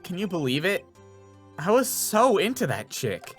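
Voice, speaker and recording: A man voicing an excitable, dopey young man with a country accent, whiny and dramatic, in a video game. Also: high voice, measured pace, breathy edge, soft background music, full character voice. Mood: heartbroken.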